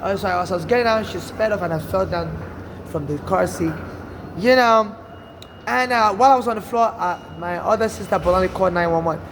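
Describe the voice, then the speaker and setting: A man's voice: sits high, brisk, fairly bright tone, a little hiss or hum. A Nigerian man from Nigeria with a Nigerian accent, telling a personal story in an earnest, rambling way, often restarting and saying "you know". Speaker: storyteller recounting personal experience. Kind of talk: casual first-person monologue.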